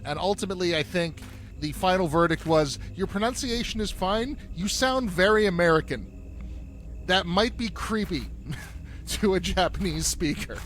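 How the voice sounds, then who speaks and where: A man who voiced a game character, chatting candidly and with some swearing about how he made the voice. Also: a little high. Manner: candid and profane, laughs easily. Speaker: voice actor and game team member. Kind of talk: casual behind-the-scenes chat. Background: music.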